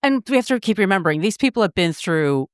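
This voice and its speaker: A woman's voice: medium pitch, lively intonation, fast, dry recording, clean studio sound. A woman co-hosting a casual book discussion, adding her own commentary in a conversational way. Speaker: podcast co-host.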